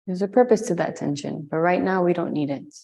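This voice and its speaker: A woman leading a guided meditation, giving slow, soothing instructions with long pauses. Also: lowish voice, vocal fry, mellow. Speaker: meditation and yoga teacher.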